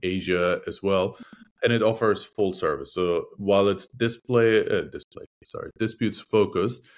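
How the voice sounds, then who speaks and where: A man who is a native Russian speaker, a lawyer guest speaking in a measured, thoughtful interview manner with frequent hesitations. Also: low-pitched. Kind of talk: conversational interview.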